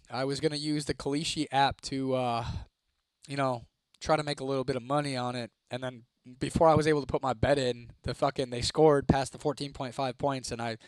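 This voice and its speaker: A young man from Ohio, chatting casually on a live stream, laid-back and swearing freely, his voice a little hoarse. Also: mid-range voice, no room sound. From Toledo, Ohio, United States. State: voice getting hoarse. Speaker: livestreamer. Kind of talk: casual live-stream chat reading comments.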